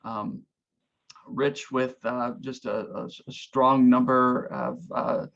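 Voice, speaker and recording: A man from Oklahoma, a pastor, giving earnest, reflective and somewhat halting opening remarks over a video call. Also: mid-range voice, little pitch movement, gravelly, a bit bright. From Oklahoma, United States. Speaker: pastor, guest speaker. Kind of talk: informal opening remarks of a talk. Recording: dull, lo-fi video call audio.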